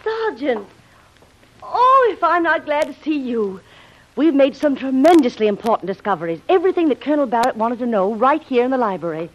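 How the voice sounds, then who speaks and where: A plucky, theatrical heroine speaks high with sweeping intonation. The old radio recording is dull and slightly noisy. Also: female voice, very animated, brisk. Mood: excited. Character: excited, plucky adventure heroine. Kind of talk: 1940s radio serial acting.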